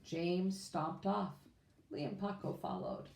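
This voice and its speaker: A woman reading a children's storybook aloud in an expressive, informal way. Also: lowish voice, slow pace, vocal fry. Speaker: children's book reader. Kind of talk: read-aloud storybook narration. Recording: echoey room.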